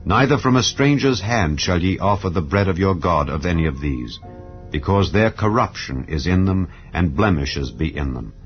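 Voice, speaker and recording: A very low-voiced man reads scripture in a solemn, measured, formal way. The recording is slightly muffled and lo-fi. Soft background music plays beneath his voice. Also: lively intonation. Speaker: scripture reader. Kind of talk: dramatized scripture reading.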